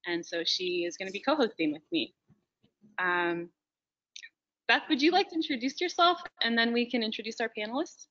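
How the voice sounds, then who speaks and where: Bright-voiced woman hosting a video panel. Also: a little high, animated, moderate pace, dull audio. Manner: casual, hesitant with fillers. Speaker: panel co-host.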